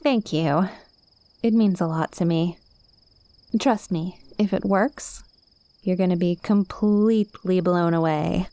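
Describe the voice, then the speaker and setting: Slow, mellow, teasing witch played by a woman. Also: fairly low pitch, no echo. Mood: gentle, instructing. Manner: conversational, teasing, coaxing. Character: cute, playful young witch, confident and coaxing. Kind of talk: ASMR roleplay, spoken to the listener.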